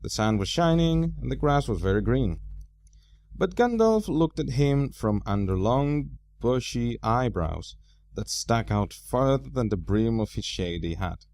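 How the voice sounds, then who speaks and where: A man narrating a storybook aloud as an amateur reader, with expressive, deliberate phrasing. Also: mid-range voice, gravelly.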